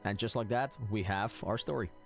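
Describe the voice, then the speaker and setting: Man, game streamer, lowish voice, casual live commentary, stammering repeats, faint game music behind. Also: fairly quick, creaky. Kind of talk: casual livestream commentary and chat.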